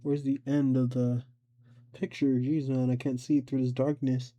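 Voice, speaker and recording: A man giving an informal step-by-step software tutorial, thinking aloud and somewhat hesitant as he goes. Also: medium pitch, flat delivery, normal speed, highs cut off. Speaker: tutorial host.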